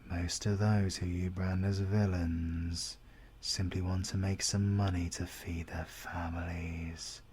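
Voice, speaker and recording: A British man acting a menacing, coldly courteous villain interrogator in an audio roleplay, speaking formally and deliberately, at times dropping into a whisper. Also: sits low, darkish tone, slight background noise.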